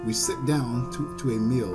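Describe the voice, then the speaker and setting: Halting male let's player over game music. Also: medium pitch, steady tone. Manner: halting, stumbles and repeats phrases. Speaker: gaming video host. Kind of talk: visual novel let's play, reading aloud and commenting. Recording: music behind the voice.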